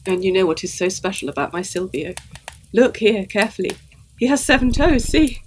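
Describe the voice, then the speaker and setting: An actress playing an earnest, emotional young gentlewoman, a devoted daughter, in a gothic audio drama. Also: medium pitch, brisk, a little hiss or hum, close to an everyday voice, poor audio. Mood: fond, earnest. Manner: earnest, expressive.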